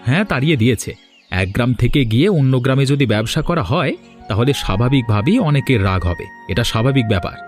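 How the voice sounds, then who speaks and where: Outspoken village vendor played by a man, lively intonation, earnest and determined, moral-story cartoon dub. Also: medium pitch, brisk, soft background music, natural voice. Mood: indignant. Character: hard-up, determined, outspoken village snack seller.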